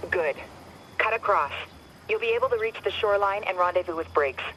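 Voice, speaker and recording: Actress as a cool, commanding mission handler. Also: female voice, medium pitch, animated, fairly quick, dull audio, noisy background, lightly acted. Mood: businesslike. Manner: crisp, instructive. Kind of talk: cinematic video game acting.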